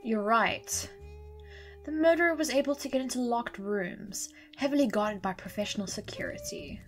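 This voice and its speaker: A woman voicing an interrogator who asks quiet, measured, probing questions. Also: fairly high pitch, soft background music, lightly acted.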